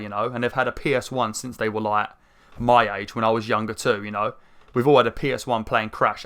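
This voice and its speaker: A young man with a croaky throat, rambling through casual gameplay commentary with lots of fillers. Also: medium pitch. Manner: rambling, chatty, many fillers, excited outbursts. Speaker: gaming channel host. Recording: faint game music behind. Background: game music.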